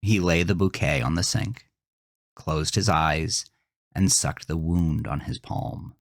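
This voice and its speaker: A man reading a scripted first-person horror story in a measured, deliberate, dramatic way. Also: low-pitched, no echo, clean studio sound. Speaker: narrator of a horror fiction podcast.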